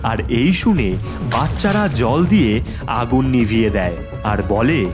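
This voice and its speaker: A man's voice: medium pitch, animated, unhurried, music in the background. A man narrating a scripted children's story in a dramatic storytelling manner.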